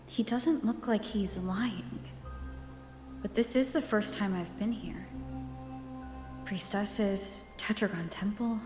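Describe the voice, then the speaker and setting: A woman playing a calm, pensive heroine in a video game, with a measured delivery and echoey reverb. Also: mid-range voice, music in the background, close to an everyday voice.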